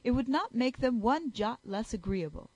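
A woman reading aloud, voicing a cheerful, easygoing young gentleman in a hearty manner. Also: on the high side, expressive, moderate pace, no room sound, lo-fi sound.

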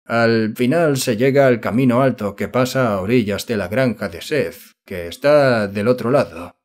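A man voicing a rural local who gives measured, helpful guidance in an audiobook story. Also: medium pitch, lightly acted.

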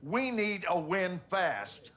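A man's voice: high-pitched, very animated, takes it slowly, a bit bright, full character voice. A man playing a blunt, commanding older army general with an American accent in a cinematic military game drama. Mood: angry, commanding.

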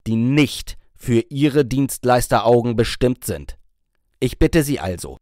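A man voicing a confident young career woman in an audiobook, stern, measured and condescending. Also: medium pitch, a little mellow, no echo, studio quality, lightly acted. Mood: stern, threatening.

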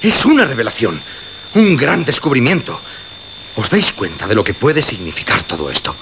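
An actor with a Castilian accent playing a grave, anguished programme director in a radio drama, insistent and repeating phrases for emphasis. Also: male voice, medium pitch, very animated, normal speed. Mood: agitated, desperate. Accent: Castilian Spanish. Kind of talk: Spanish radio drama acting. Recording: dull, noisy radio recording.

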